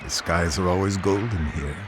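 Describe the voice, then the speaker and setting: An actor playing a courteous, wry companion in a fantasy video game, speaking politely and articulately. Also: male voice, sits low, moderate pace, close to an everyday voice. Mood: wry, teasing. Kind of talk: video game cutscene dialogue. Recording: noisy game audio.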